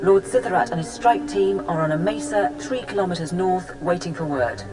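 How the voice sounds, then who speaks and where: An actress playing a calm, crisp, dutiful Imperial support officer giving mission reports in a video game. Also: female voice, fairly low pitch, brisk, music in the background, close to an everyday voice. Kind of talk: video game cutscene acting.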